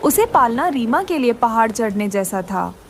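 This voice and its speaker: A woman's voice: a little high, big pitch swings, moderate pace. A woman reading scripted moral-story narration for a children's cartoon.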